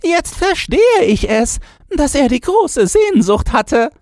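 A man voicing a hoarse, barking, grumbling and knowing chained yard dog from a storybook. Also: very high-pitched, very animated, slow, a little mellow, no room sound, studio quality, voice pushed up, heavily acted. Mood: sudden understanding. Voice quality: hoarse, yapping. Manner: barking exclamations. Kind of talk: storybook character voice.

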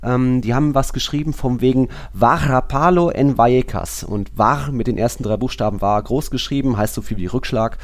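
A man's voice: medium pitch, normal speed, few pauses. A man with a casual, conversational delivery, talking as a host on a football podcast.

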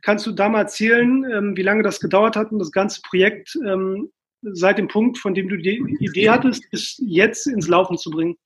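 Woman co-host on a podcast, medium pitch, asking questions casually. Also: moderate pace. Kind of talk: conversational interviewing.

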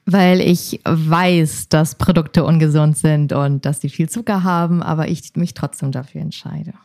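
A woman's voice: low-pitched, fairly even intonation, measured pace, creaky, a little mellow, dry recording, studio quality. A woman in her late twenties speaking frankly and easily as an interview guest. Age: 20s. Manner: frank, easygoing, laughs at herself. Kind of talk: casual chat.

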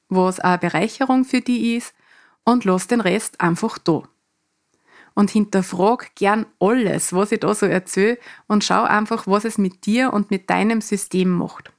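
A middle-aged woman from Lower Austria with a Mostviertel dialect accent, earnest, hosting a solo coaching podcast. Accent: Mostviertel Austrian dialect with a touch of Salzburg. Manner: earnest, speaks in dialect rather than standard German.

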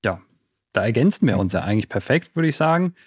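A man's voice: mid-range voice, very animated, quick pace, slightly rough, dry recording. A man hosting a podcast in a casual, chatty style. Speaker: podcast host. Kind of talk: casual chat.

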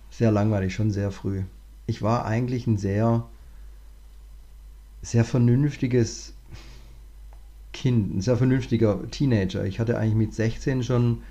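A man, a management consultant, talking through his career in a relaxed interview, thinking aloud with many restarts. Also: medium pitch, measured pace, pauses often. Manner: thoughtful, many fillers and restarts. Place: lives in Cape Town, remote interview.

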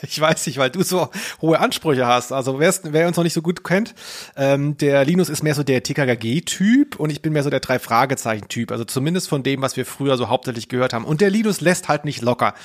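A man's voice: medium pitch, lively intonation, fairly quick. A man co-hosting a podcast, chatting casually in a wry, joking way. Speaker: podcast co-host. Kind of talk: casual chat about audio dramas.

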